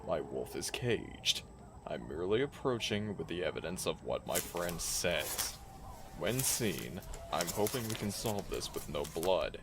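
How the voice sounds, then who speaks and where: A man's voice: low voice, lively intonation, a little slow, background noise. An actor playing a cocky, rugged, loyal male werewolf in an audio drama, with a theatrical, drawling delivery. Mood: calm, dry.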